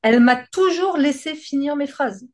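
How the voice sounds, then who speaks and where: A woman's voice: sits high, animated, moderate pace. A woman from France with a French accent, chatting casually and talkatively in informal, slangy language. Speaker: singer, guest. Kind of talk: casual conversation.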